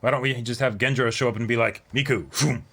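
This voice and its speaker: A man casually discussing anime as a co-host, talking in an excitable, rambling way. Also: medium pitch, fast. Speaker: reaction show co-host.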